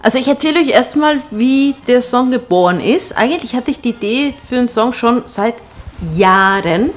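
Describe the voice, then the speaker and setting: A woman from Argentina, a native Spanish speaker, chats candidly and with a giggly manner as a guest telling personal stories on the radio. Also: on the high side. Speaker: singer and actress, radio guest. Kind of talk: casual personal storytelling in a radio interview. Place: radio broadcast. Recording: music behind the voice. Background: music.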